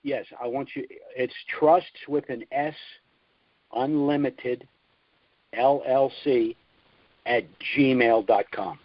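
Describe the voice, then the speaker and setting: A man's voice: mid-range voice, steady tone, takes it slowly, pauses often, no echo. A middle-aged man talks as the host of a call-in conference. His delivery is informal and chatty, like someone telling stories. The audio is dry and muffled, a lo-fi conference line. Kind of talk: casual chat and storytelling.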